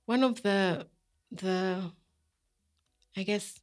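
A woman psychologist speaking thoughtfully on a podcast, with many pauses and fillers. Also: mid-range voice. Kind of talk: casual podcast conversation.

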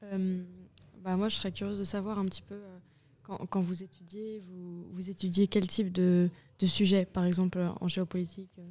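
A teenage high school girl asking a guest a question in a hesitant, halting way. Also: little pitch movement, mellow. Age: teens.

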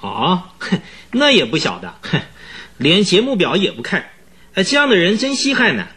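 A man's voice: sits high, wildly swooping pitch, normal speed, pushed and bright, breathy edge, exaggerated voice. A man playing an agitated, pleading horse trainer in his mid-twenties in a radio drama, stammering and exclaiming. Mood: incredulous. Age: young adult.

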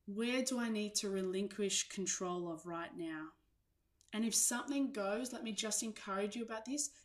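A woman's voice: mid-range voice, normal speed. A woman giving an informal sermon to an online congregation, speaking in an earnest, reflective and encouraging way. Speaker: church preacher.

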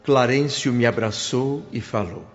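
A male actor with a Brazilian accent playing an earnest, emotional man who recounts his story in a radio drama, sentimentally and with measured delivery. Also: mid-range voice, natural voice. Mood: joyful, nostalgic. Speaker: narrator and lead character. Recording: dull lo-fi radio, music behind.

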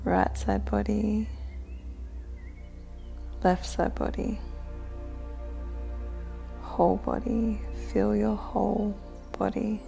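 A woman guides a meditation in a soft, mellow voice with heavy vocal fry. She speaks very slowly, giving gentle, soothing instructions. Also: medium pitch, flat delivery, soft background music.

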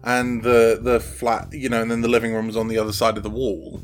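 Halting man chatting in an interview. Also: mid-range voice, completely flat delivery. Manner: halting, thinking aloud. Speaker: audio drama creator interviewed as guest. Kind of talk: casual interview chat.

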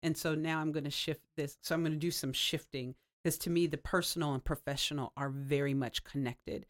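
A woman's voice: sits low, steady tone, fairly quick. Affirming woman host, long winding turns. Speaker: interview host. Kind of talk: conversational interview hosting.

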